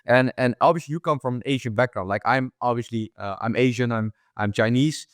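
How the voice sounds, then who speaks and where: A man's voice: mid-range voice, brisk, no room sound, clean studio sound. A young man who grew up in the Netherlands, hosting a casual interview podcast, with loose talk full of repeated words and "bro". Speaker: podcast host.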